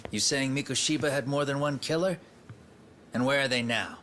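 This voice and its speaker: A man acting a glib, streetwise local fixer in an English-dubbed game cutscene, smooth-talking and playfully theatrical. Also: medium pitch, normal speed, a bit bright, slight background noise, lightly acted. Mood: pressing. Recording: music behind the voice.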